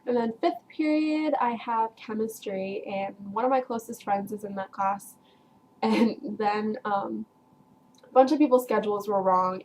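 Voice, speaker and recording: A woman's voice: medium pitch, a little mellow, slight background noise, poor audio. A teenage girl casually telling a long story to her viewers, rambling with lots of filler words and breaking into laughs. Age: teens. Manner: chatty, rambling, heavy use of "like" and "um"; frequent laughs while telling. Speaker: vlogger.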